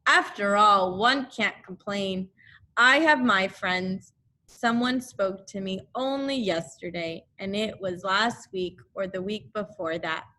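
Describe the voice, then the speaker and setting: A woman voicing a gloomy, self-important old male donkey in a children's storybook, making slow, ponderous speeches. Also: medium pitch, bright, forceful tone, rough recording. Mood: resigned, sarcastic. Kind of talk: children's storybook read-aloud.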